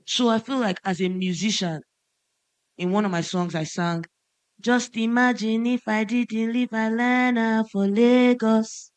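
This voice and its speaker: A woman's voice: mid-range voice, no echo. A woman from Nigeria, a musician on a conference panel, speaking passionately and with emphasis. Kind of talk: panel discussion remarks. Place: conference stage.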